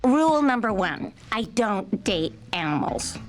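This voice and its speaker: A woman voicing a sharp-tongued young female mechanic in a video game cutscene, matter-of-fact and brisk. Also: medium pitch, sing-song, soft background music.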